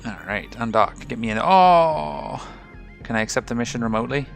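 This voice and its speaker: A man hosting a casual game video, talking through his ship setup with a slightly rough, hoarse voice. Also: mid-range voice, normal speed, soft background music. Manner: relaxed, rambling, explains ship fittings. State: slightly rough voice after a night of singing. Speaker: gaming channel host. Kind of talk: casual gameplay commentary.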